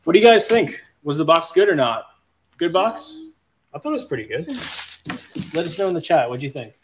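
A man's voice: a little high, very animated, a little fast, a little hiss or hum. A man in his thirties from South Africa, casually hosting a live unboxing stream from a storage room and reading viewer comments aloud. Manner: upbeat, reads chat aloud. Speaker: channel host. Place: storage room stacked with boxes.

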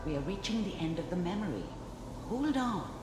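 A woman playing a brisk, attentive technician in a video game, instructing and reassuring. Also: lowish voice, big pitch swings, over loud music. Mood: urgent. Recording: echoey hall, loud machine noise.

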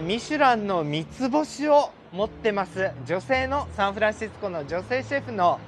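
A man's voice: high-pitched, noisy background. A middle-aged man casually narrating a walking tour outdoors, polite and enthusiastic, speaking into a handheld camera. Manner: chatty, polite, enthusiastic guide. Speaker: vlogger. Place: outdoors on city streets and in a park. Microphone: handheld wide-angle camera.

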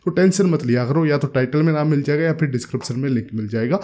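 A man's voice: a little high, fast talker, dark tone. A man, giving casual, chatty gameplay commentary in a joking way, close on a desk microphone. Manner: chatty, joking, addresses viewers as bro. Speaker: gaming video host. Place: small indoor studio setup. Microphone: desk microphone up close, with headset.

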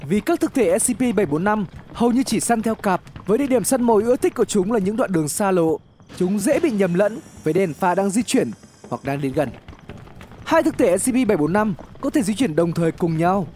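A man reading scripted narration for an animated horror explainer, telling it in a measured, dramatic way. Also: sits high, a little hiss or hum.

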